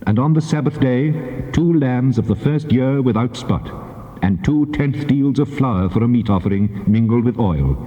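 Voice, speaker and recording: An actor playing a solemn, commanding God in a dramatized scripture recording, speaking in a measured, authoritative declamation. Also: male voice, mid-range voice, animated, warm tone, lightly acted. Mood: solemn, instructing. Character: the Lord God, solemn commanding male deity. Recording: dull tape, long cavernous reverb.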